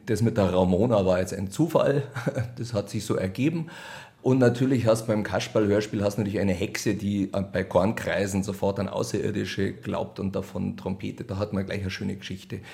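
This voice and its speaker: Give the voice of a Bavarian man in a casual interview. He speaks low with a gravelly creak, in a colloquial and wry way. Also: steady tone, moderate pace, darkish tone. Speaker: radio play author and director being interviewed.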